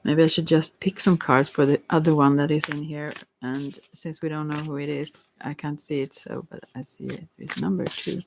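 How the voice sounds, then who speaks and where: An ASMR tarot reader improvising a reading, hesitant and often pausing to search for words. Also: mid-range voice, steady tone, gravelly, a little hiss or hum, rough recording. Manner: hesitant, searching for words, with long thoughtful pauses. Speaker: tarot reader hosting a live session.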